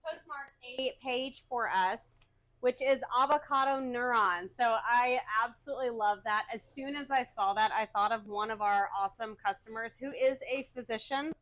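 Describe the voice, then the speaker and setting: A woman's voice: fairly high pitch, normal speed, fairly bright tone, low-quality recording. A woman co-hosting a cooking show in casual livestream chat.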